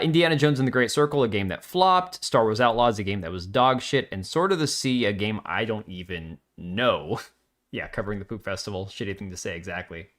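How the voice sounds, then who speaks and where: A middle-aged man hosting a live stream, chatting casually and reacting to chat with quick jokey asides. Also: on the low side, fairly bright tone. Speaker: livestream host.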